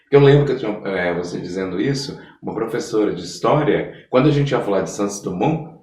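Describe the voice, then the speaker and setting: Lowish-voiced Brazilian man in echoey room. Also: expressive, quick pace, darkish tone. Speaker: podcast interviewer. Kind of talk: conversational interview.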